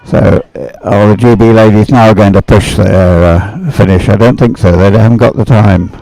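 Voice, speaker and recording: A man commentates live on a race in an unscripted, conversational style. His voice sits low and is mellow, with a lot of fry in it. He tends to hesitate and ramble as he describes what he sees. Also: moderate pace, no room sound, soft background music, poor audio. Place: outdoors beside the water at a regatta.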